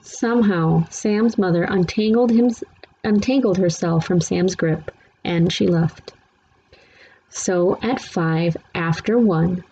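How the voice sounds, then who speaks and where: A woman's voice: lowish voice, warm tone. A woman narrating a children's book aloud as a scripted story, on a slightly dull, faintly noisy recording.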